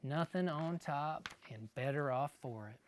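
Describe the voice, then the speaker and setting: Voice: mid-range voice, a little slow, a little hiss or hum, close to an everyday voice. A storyteller voicing a hapless, eager little country boy who is forgetful and chants his phrases over and over. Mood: muddled chanting.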